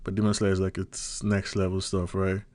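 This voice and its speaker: A man's voice: sits low, monotone, moderate pace, a little mellow, dry recording. A man with a Nigerian accent giving casual solo commentary as a vlogger, chatty and loose, checking in with the viewer as he goes. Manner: chatty, loose, peppers talk with "like" and "right?".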